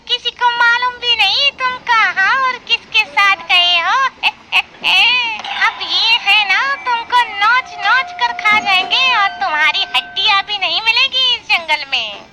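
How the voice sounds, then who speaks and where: A performer voicing a sly, mocking, falsely servile male fox villain in an animated moral story, over a noisy background. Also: very high-pitched, slow, few pauses, loud music behind the voice. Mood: gloating menace.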